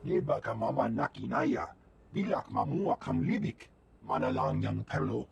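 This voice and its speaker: An actor voicing a gravelly, rasping male alien villager who speaks an invented tongue in a video game. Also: fairly low pitch, moderate pace, a little hiss or hum. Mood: pleading, grave.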